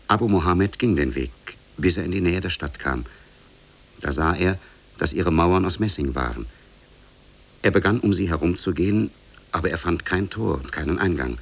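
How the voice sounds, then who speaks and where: A man with a low, husky voice tells a scripted fairy tale. His delivery is solemn and measured, in the old style of 1950s radio. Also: expressive. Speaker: narrator.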